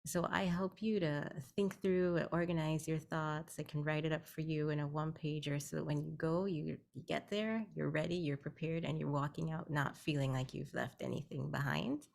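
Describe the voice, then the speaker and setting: A woman from Guyana speaks as a guest on a video-call meeting, explaining her services plainly and patiently. Her voice sits very low for a woman, with a gravelly fry running through it. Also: steady tone, normal speed, few pauses, a little mellow. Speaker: guest, health educator. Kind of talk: virtual networking meeting talk.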